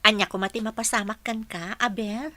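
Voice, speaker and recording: An actress with an Ilocano accent playing a gentle, polite young woman in a radio drama. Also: mid-range voice, fairly even intonation, fairly quick, no echo, natural voice. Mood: earnest. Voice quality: soft-spoken, polite, says sir often.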